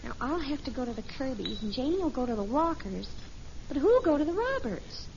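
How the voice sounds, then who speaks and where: High-voiced, earnest teenage girl. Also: very animated, brisk, a little mellow. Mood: exasperated. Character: earnest, eager teenage daughter organizing things. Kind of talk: 1950s radio sitcom acting. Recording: muffled, noisy old radio recording.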